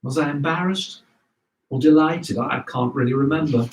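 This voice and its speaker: A man's voice: fairly high pitch, normal speed, a little hiss or hum. Echoey, distant man reading a memoir aloud. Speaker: reader of memoirs. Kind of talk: scripted memoir reading to a live audience. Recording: roomy echo, distant microphone.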